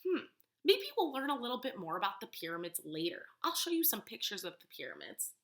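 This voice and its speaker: Echoey, animated woman reading to young children. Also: fairly high pitch, brisk. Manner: instructive, asks questions and explains words. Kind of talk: storybook read-aloud with questions to children. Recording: roomy, echoey room sound.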